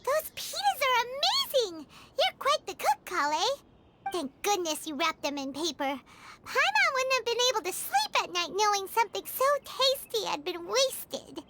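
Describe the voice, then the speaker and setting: A woman's voice: sing-song, moderate pace, very noisy, full character voice. A woman voicing a tiny, childlike floating fairy companion in a video game, bubbly, emphatic and food-loving. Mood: chatty. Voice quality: squeaky, childlike. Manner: bubbly, emphatic, refers to self in third person. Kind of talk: video game character acting. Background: game music.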